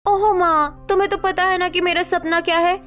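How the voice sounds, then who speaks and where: A woman's voice: very high voice, steady tone, fairly quick, a bit bright, faint music behind. A woman voicing an earnest, educated young village bride in a cartoon story. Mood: eager. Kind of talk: cartoon moral story acting.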